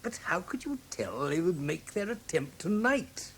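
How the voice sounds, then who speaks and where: An actor in a British radio drama playing a genial, admiring gentleman companion to a detective, hesitant, with an English accent. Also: sits high, big pitch swings, unhurried, exaggerated voice. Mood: attentive, hesitant. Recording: old radio recording with faint noise.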